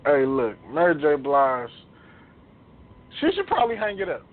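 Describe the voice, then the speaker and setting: A man's voice: on the high side, big pitch swings, moderate pace. A man co-hosting a radio show in casual, opinionated banter, blunt and slangy, repeating phrases for emphasis. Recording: tinny, phone-like internet radio audio.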